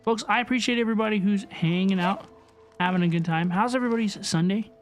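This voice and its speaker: A high-pitched male livestreamer chats casually while reacting to a game. He is informal and tends to repeat himself, with faint game music behind him. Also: quick pace. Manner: chatty, informal, repeats phrases. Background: video game music.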